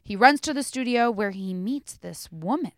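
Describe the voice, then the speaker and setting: A woman's voice: on the high side, animated, normal speed, dry recording, clean studio sound. A woman co-hosting a podcast, chatting casually and enthusiastically about a film. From North America. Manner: enthusiastic, emphatic. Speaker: podcast co-host. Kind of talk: casual film review chat.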